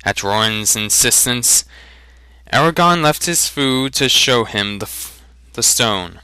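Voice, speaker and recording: Male storyteller, bright and smooth, deliberate dramatic delivery, very dry sound. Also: medium pitch, animated, no echo, low-quality recording. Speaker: reader of a fantasy novel. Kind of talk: dramatic audiobook reading with joking asides.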